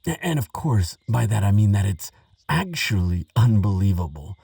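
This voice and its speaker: A man playing an earnest, excitable archaeologist who talks gently to a friend, stammering and musing with wonder, in a relaxing audio roleplay. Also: fairly low pitch, moderate pace, vocal fry, slightly rough, dry recording, far from the mic, full character voice. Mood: eager, fascinated. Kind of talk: relaxing scripted audio roleplay, spoken to the listener.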